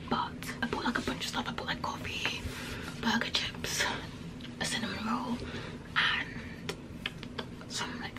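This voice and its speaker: A woman's voice: a little high, rough recording. A young woman chatting casually as a travel vlogger, full of fillers and often dropping to a whisper. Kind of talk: casual vlog chat.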